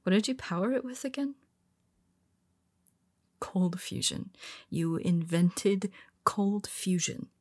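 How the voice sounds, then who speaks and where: A woman's voice: medium pitch, expressive, slow, pauses often. A woman playing a powerful, blunt but secretly vulnerable superhero in an intimate audio roleplay, speaking conversationally with hesitant asides. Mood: teasing, curious.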